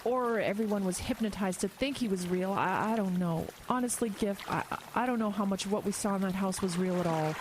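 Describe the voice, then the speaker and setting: A woman's voice: medium pitch, brisk, hardly any pauses, slight background noise, close to an everyday voice. Actress as an earnest, frightened woman in horror drama. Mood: urgent explaining. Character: frightened, compassionate woman investigator recounting a horror. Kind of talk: audio horror drama acting.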